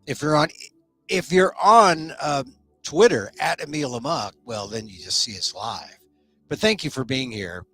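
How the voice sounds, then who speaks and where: Animated chatty male talk-show host. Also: medium pitch, measured pace, faint music behind. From United States. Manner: animated, chatty, rambling with restarts. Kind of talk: casual solo talk show.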